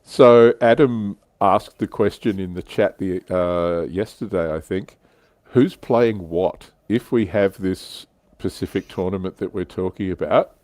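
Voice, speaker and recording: Host, male, very low voice, dry sound, informal panel chat. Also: measured pace, a little mellow, no room sound.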